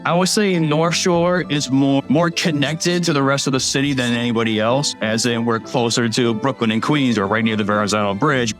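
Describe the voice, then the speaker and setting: A man's voice: medium pitch, fairly even intonation, moderate pace, few pauses, fairly bright tone, faint music behind. A man, giving casual, opinionated answers in a documentary interview. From Staten Island, New York. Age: 30s. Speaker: local resident interviewee.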